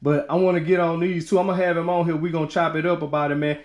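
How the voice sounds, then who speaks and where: A man's voice: on the high side, flat delivery, quick pace, darkish tone. A man talking casually and in slangy, informal phrases as a podcast host with a guest. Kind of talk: casual conversation. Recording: roomy echo.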